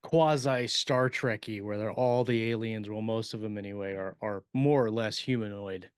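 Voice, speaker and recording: A man's voice: on the low side, normal speed, clear, resonant tone, no room sound, clean studio sound. A man hosting a podcast as a fiction author, chatting in a loose, casual style.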